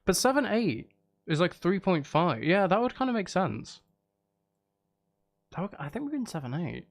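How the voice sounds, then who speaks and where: A man's voice: high voice, very animated, moderate pace, creaky. A man streaming casually, thinking aloud as he works things out. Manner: thinking aloud, counting and humming out rhythms. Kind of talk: casual live stream chat while composing music. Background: music playing.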